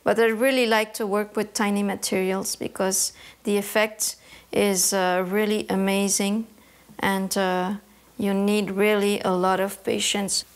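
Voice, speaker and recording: A woman from Canada, an embroidery artist, answering interview questions in a thoughtful way, often pausing to search for words. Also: mid-range voice, little pitch movement.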